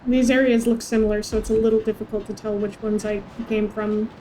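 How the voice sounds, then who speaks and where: A woman's voice: medium pitch, flat delivery, a little fast, warm tone, slight background noise, poor audio. A woman chatting casually and wryly while she plays through a video game on stream. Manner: playful, wry. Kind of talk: casual playthrough chat.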